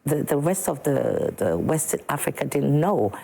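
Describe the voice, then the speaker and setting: A woman from Ghana speaks as a musician guest in a TV studio. Her voice sits low and gravelly, with a husky, mellow tone. She drives her points home emphatically and often repeats a word for stress. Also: big pitch swings, measured pace. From Ghana, Sekondi-Takoradi. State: a bit slow this morning. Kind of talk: morning TV studio interview.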